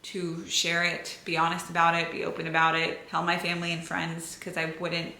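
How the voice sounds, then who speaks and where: A woman's voice: lowish voice, monotone, normal speed, sharp tone. A young American woman chatting casually to camera, answering viewer questions in an open, filler-heavy way. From United States. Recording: echoey room.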